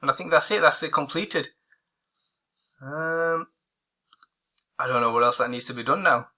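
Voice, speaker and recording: A man walking viewers through a software tutorial, hoarse and losing his voice, rambling with many fillers and restarts. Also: on the high side, normal speed. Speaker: online marketing tutor. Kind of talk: casual screen-recorded tutorial.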